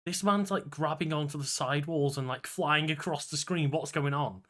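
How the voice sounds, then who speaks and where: A man with a bright, forward voice chats casually as a podcast co-host. His delivery is loose and conversational, like banter between friends. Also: a little high, normal speed.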